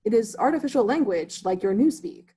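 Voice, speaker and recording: A man's voice: high voice, expressive, a little fast, warm tone. A man reading the part of an erudite immigrant substitute teacher who speaks formally and drops his articles, in an amateur play reading. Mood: earnest.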